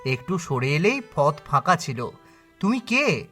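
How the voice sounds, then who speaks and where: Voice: mid-range voice, very animated, takes it slowly, pushed and bright, soft background music, exaggerated voice. A performer playing a friendly, hopeful village cowherd boy in a fairy-tale cartoon, youthful, open and reassuring.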